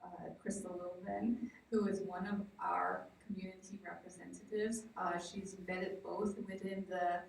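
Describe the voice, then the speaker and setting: A woman from the southwestern United States giving an informal, explanatory conference presentation as a project researcher, piecing her thoughts together with pauses. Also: fairly low pitch, level tone, creaky. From Navajo Nation, southwestern United States. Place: large echoey indoor presentation room. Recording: very echoey room, distant mic, faint noise.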